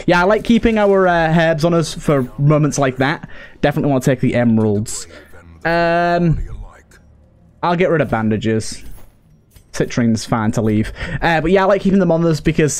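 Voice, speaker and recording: A man's voice: medium pitch, darkish tone. A man giving casual live video game commentary, thinking aloud and chatting to the characters as he plays. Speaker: host of a video game let's play. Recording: background game music. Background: game music.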